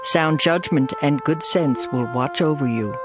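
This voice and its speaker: Stern lady wisdom, slow solemn warnings, bible drama, music playing behind her. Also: low voice. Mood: solemn, instructive. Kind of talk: dramatized scripture reading.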